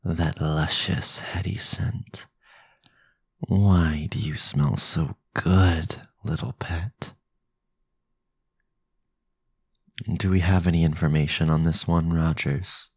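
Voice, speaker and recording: A man playing a powerful, sinister vampire lord in an ASMR roleplay, possessive, silky and condescending, with a low, gravelly, breathy voice. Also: fairly even intonation, no echo, full character voice. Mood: predatory, seductive.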